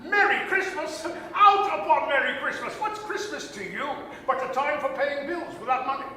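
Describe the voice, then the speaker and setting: A man's voice: very high-pitched, moderate pace, sharp tone, pitched above the performer's own voice, full character voice. A man playing a cranky, miserly old man in a radio-style drama, grumbling with scornful emphasis. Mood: scornful, irritated. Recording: very echoey distant hall, noisy.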